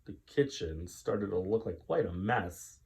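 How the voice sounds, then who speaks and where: A man's voice: low-pitched, lively intonation, creaky, darkish tone. A man reading a children's story aloud as a storyteller, dramatic and drawn out, with chatty asides. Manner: dramatic, drawn-out delivery with pauses.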